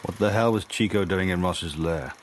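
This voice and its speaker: An actor playing a wry, insubordinate working-class spy in a radio drama, blunt and sardonic in manner. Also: male voice, low voice, moderate pace, natural voice. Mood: dry narration. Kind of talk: radio drama acting with first-person narration. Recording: broadcast radio drama with faint noise.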